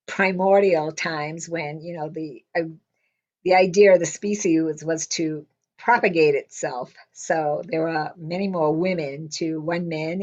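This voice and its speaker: An older woman in semi-retirement telling a casual story with a loud, blunt way of talking, acting out what people said. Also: fairly low pitch, lo-fi sound. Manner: lively, blunt, quotes people in her stories. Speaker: interviewee.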